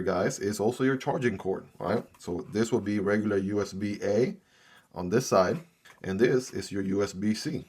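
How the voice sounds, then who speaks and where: Upbeat guy reviewing gear, spanish is his first language, kinda low voice, says "right?" a lot. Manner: chatty, upbeat, often adds "right?". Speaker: product reviewer. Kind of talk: casual unboxing review.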